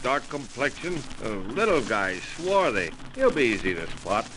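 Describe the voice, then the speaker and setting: An actor playing a gruff, eager police sergeant in an old-time radio drama, with slangy, ungrammatical, blustering talk. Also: male voice, mid-range voice, sing-song, moderate pace, natural voice. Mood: blustery, confident. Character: gruff, eager, tough-talking male police sergeant, war veteran. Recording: noisy old radio broadcast.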